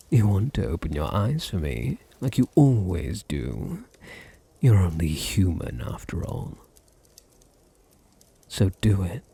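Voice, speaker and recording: A man performs a seductive British vampire master in an intimate ASMR roleplay. His voice is dark and husky with a creaky edge, often sinking toward a whisper. He purrs condescending endearments, domineering and teasing. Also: fairly low pitch. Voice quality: breathy, often dropping to a whisper. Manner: slow, purring, condescending endearments. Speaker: audio roleplay voice actor.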